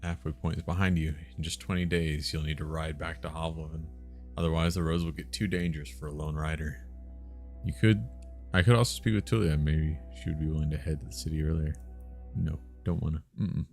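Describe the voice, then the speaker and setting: A man's voice: extremely low pitch, steady tone, a little fast, creaky, a little mellow. A man streaming a game, chatting casually and reading aloud, hesitant and muttering to himself. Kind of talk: casual live gameplay chat and read-aloud. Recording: guitar music behind the voice. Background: plucked guitar game music.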